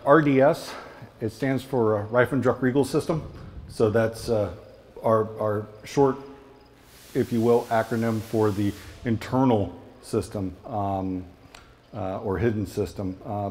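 A man's voice: fairly low pitch, a little mellow, a little hiss or hum. A man giving an informal technical product presentation in a conversational, explanatory way, often checking in with his listeners.

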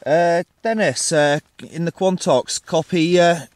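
A man's voice: a little high, slow pace, darkish tone, no room sound. A man talking casually as a portable CB radio operator, recorded outdoors. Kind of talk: casual radio talk and field narration. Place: outdoors on high open ground.

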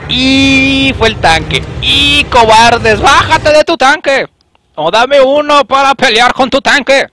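A man's voice: very high voice, slow pace, a little hiss or hum, low-quality recording. A young man with a Mexican accent giving joking, casual commentary while streaming a game and talking to his chat. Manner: joking, animated, talks to chat. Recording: music playing behind voice.

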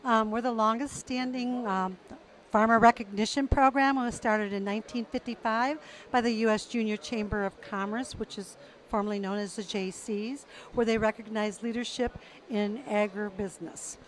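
A woman's voice: fairly high pitch, steady tone, normal speed, low-quality recording. Woman explaining a program into a mic. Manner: informative with fillers. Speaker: program representative. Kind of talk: interview answers. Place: indoor exhibition hall. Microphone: handheld mic.

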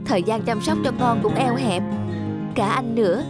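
A woman plays a devoted young wife in an animated drama. Her voice is high, gentle and affectionate, with music clearly playing behind it. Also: normal speed, lightly acted. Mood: earnest, wistful.

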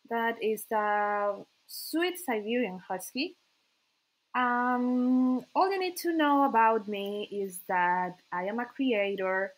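Slow-paced Colombian woman podcaster. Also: mid-range voice, rough recording. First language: Spanish. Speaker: podcast host, writer. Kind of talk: informal podcast self-introduction.

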